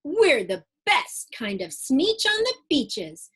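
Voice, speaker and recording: A woman's voice: high-pitched, wildly swooping pitch, takes it slowly, a bit bright, exaggerated voice. A woman voicing snooty, boastful creatures from a children's storybook in a haughty, indignant manner.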